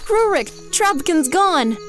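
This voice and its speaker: A woman's voice: very high voice, big pitch swings, slow, fairly bright tone, faint music behind, heavily acted. A woman acting an anxious, loving elf parent in an audio drama. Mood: panicked.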